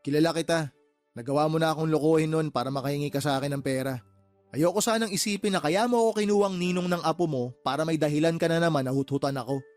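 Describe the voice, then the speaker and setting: An actor plays an indignant younger brother, fed up with freeloading family. His slightly high male voice rattles out accusations quickly, in radio-drama style over faint music. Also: darkish tone, lightly acted. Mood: suspicious. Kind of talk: radio drama dialogue in colloquial Tagalog.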